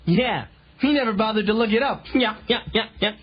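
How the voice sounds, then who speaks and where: A man playing a teasing, laid-back teenage mutant turtle with a husky voice in a children's audio drama on dull tape. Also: sits high, brisk. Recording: dull lo-fi tape, music behind.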